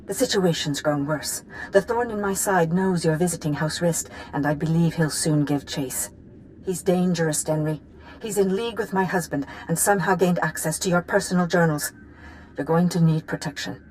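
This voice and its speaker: A woman's voice: on the low side, moderate pace, a little mellow, slight background noise, lightly acted, poor audio. An actress playing an urgent, conspiring noblewoman in a video game, speaking earnestly. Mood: urgent, worried. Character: urgent, conspiring noblewoman sending secret message. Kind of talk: scripted game dialogue.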